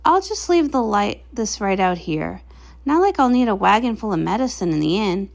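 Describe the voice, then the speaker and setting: A woman's voice: medium pitch, sing-song, normal speed, vocal fry, voice pushed down. A woman voicing a kindly, practical, plucky travelling healer pony in a comic read-along. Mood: uneasy.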